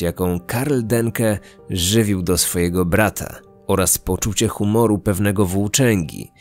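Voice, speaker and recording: A man narrates a true-crime podcast in a low voice. His delivery is scripted, in documentary style, with faint music running underneath.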